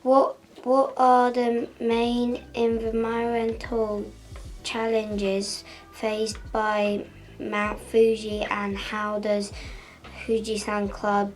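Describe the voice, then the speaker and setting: A woman interviewing a student, asking measured questions and giving short acknowledgments. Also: fairly high pitch, monotone, poor audio. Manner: slow, measured questioning with brief backchannels. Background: faint music.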